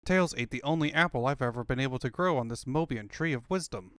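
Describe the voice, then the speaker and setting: A man's voice: mid-range voice, fast talker, fairly bright tone, no room sound, clean studio sound, lightly acted. A man voicing an earnest male inventor animal sidekick who explains things, in a comic fandub. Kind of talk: comic fandub character acting.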